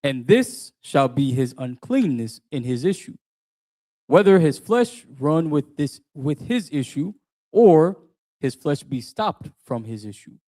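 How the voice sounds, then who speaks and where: A man reading old scripture aloud in a steady, formal way, sounding distant from the mic. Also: fairly high pitch, measured pace. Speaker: scripture reader.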